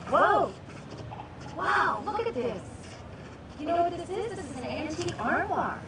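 A sardonic, impulsive woman in a sitcom. Her expressive voice swings high, heard in a roomy space under heavy noise. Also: a little slow, full character voice. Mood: eager. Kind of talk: sitcom acting. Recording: echoey, distant, very noisy TV audio.

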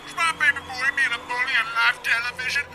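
A man playing a furious, scolding mother on the phone in an anime parody dub, with a gravelly voice. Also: extremely high pitch, fairly even intonation, quick pace.

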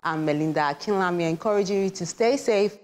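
A woman's voice: on the low side, fast talker, a little mellow. A woman presenting a television programme as its host from a script.

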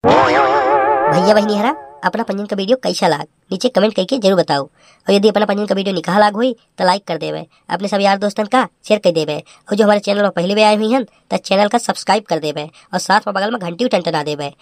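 A woman's voice: medium pitch, fairly quick, dry recording, close to an everyday voice. Woman as a cartoon villager presenting, dry sound. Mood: friendly pitch. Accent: Bagheli. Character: cartoon village husband addressing viewers. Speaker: channel presenter. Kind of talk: scripted cartoon sign-off.

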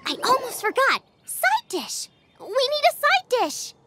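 A woman voicing a chirpy, passionate young girl in a video game, with animated delivery. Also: extremely high pitch, measured pace, heavy background noise, full character voice. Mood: cheerful, determined. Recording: music playing behind the voice.

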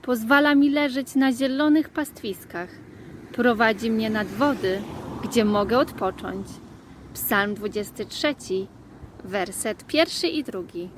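A woman with a fairly high voice recites scripture for children. She goes slowly, and there is clear noise in the background. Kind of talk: reciting a Bible memory verse.

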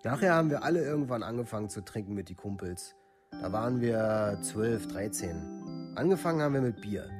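An actor playing a rough young village man who gives a plain, unemotional account in a documentary radio play. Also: fairly low pitch, animated, fairly quick, over music, lightly acted. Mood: casual, reminiscing. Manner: matter-of-fact, plain, unemotional recounting.

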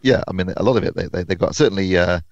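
Fast-talking man with deep gravelly voice. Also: darkish tone, no room sound, dull audio. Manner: enthusiastic, quick, laughs often. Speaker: podcast co-host, reviewer. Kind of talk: casual chat.

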